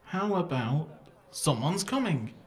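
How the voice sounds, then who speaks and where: Guy doing a naive keen schoolboy sidekick, bit high, amateur audio play, guileless. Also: very animated, slow pace. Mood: naive, eager. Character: Dodge, naive, eager, slow-witted schoolboy sidekick. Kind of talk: amateur audio drama acting.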